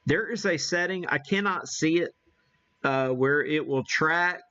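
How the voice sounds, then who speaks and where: A man's voice: a little high, unhurried, pushed and bright, lo-fi sound. An American man hosting a casual live radio demonstration, thinking aloud in a folksy way. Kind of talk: casual live-stream chat while operating.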